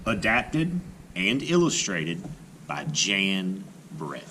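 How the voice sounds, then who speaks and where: A man reads a children's story aloud in an unhurried, theatrical way, stretching out key words. His pitch swings widely from line to line, and there is clear noise on the recording. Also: big pitch swings. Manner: theatrical, drawn-out emphasis on words. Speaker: storyteller reading a picture book aloud. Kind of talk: expressive children's read-aloud narration.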